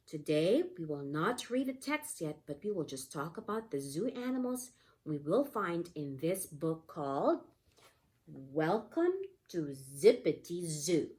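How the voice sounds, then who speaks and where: Slow, very animated female preschool teacher. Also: low-pitched. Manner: simple explanations with repeated questions and prompts. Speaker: pre-K teacher. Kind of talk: read-aloud lesson for young children, asking questions.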